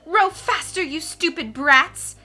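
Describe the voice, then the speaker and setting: A woman voicing a wicked, vain male villain who shouts at children and cackles, reading a storybook aloud over music. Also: very high voice, slow pace, voice pushed up, exaggerated voice. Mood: menacing.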